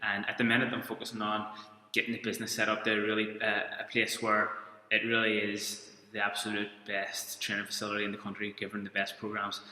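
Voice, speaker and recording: A man in his thirties from Belfast with a Belfast accent, giving a coaching webinar in an informal, halting way with frequent restarts. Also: fairly low pitch, monotone, pushed and bright. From Belfast, Northern Ireland. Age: 30s. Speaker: strength and conditioning coach. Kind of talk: webinar presentation. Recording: echoey room with long reverb.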